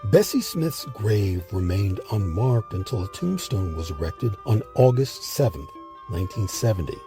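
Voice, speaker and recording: A man hosting a history series, reading scripted documentary narration with measured, emphatic phrasing. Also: lowish voice, very animated, dark tone, faint music behind.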